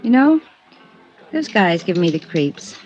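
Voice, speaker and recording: A woman's voice: on the low side, sing-song, a little slow, a little mellow. Wistful barroom girl, dull recording. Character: wistful, forward young woman at a rundown bar. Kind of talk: 1950s radio drama acting. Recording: dull vintage radio recording, guitar behind.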